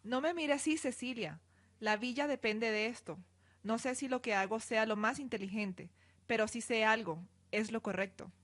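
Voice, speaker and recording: A woman's voice: fairly high pitch, moderate pace, fairly bright tone. A woman acting a resolute, tender noblewoman in a student radio drama, earnest and dramatic. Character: resolute, tender noblewoman and mother facing danger.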